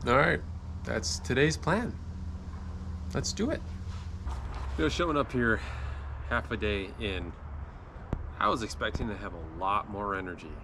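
A man's voice: on the low side, expressive, unhurried, creaky, pauses often, noisy background. A man in his forties talking casually to camera as a builder vlogging his work plans, in a colloquial, folksy, self-deprecating way. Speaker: home improvement vlogger, builder. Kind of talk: casual vlog talk about work plans. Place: job site, outdoors and in unfinished house.

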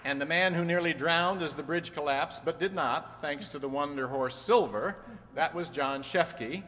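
Male announcer, 60s, slightly high voice, scripted radio-show delivery, loud crowd around him. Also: normal speed. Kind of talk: scripted cast credits in radio announcer manner. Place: on stage in a community hall. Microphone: stand microphone. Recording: echoey hall, loud audience and noise.

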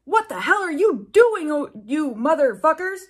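A woman voicing a furious old male wizard headmaster, shouting and scolding in a pompous, indignant way. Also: high voice, sing-song, normal speed, pitched above the performer's own voice.

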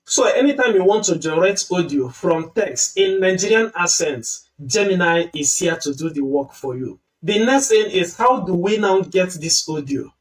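A man walks through a software tutorial one click at a time, in a calm and patient manner. His voice sits a little high for a man. He sounds some distance from the computer mic. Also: moderate pace, hardly any pauses. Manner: step-by-step, patient explaining. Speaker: tutorial host. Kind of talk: screen-recorded software tutorial.

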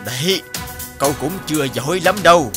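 A man's voice: a little high, animated, brisk, close to an everyday voice. A man voicing an older male farmer in a cartoon, plain-spoken, curious and blunt. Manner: blunt, folksy. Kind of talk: cartoon dubbing. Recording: music behind the voice.

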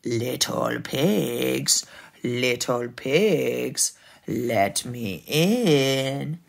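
A woman voicing a big bad storybook wolf, a male predator making slow, drawn-out threats. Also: a bass voice, lively intonation, creaky, pitched below the performer's own voice, full character voice. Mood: menacing. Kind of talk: children's storytelling.

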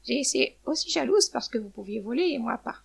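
A woman's voice: on the high side, animated, brisk, creaky, husky, exaggerated voice. A woman voicing a feisty, proud mother hen in a children's story, blunt and exclamatory. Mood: grateful, softened. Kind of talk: children's storybook character reading.

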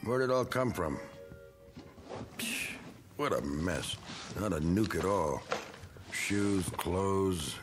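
An actor playing a blunt, gruff middle-aged man who is clearing out his cluttered attic, in a TV drama. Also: sits low, animated, slow, pauses often, over music. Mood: grumbling. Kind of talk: 1980s TV drama acting.

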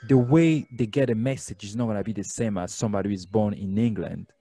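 A young man from Congo who speaks French as his first language, hosting a podcast interview through a condenser mic and headphones. He talks haltingly and searches for words, with loose English grammar. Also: on the low side, warm tone, slightly rough. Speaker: podcast host. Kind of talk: casual interview. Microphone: studio condenser mic with headphones.

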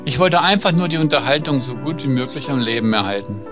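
A man's voice: mid-range voice, animated, fast, close to an everyday voice. A man reading the part of an awkward young driver who narrates a visual novel, over music. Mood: pensive. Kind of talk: visual novel read aloud.

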